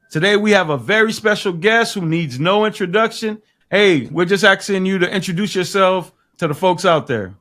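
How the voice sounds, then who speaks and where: A man hosting a podcast, introducing the show and the guest and asking questions in a measured, formal way. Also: high-pitched, bright, forceful tone. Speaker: podcast host.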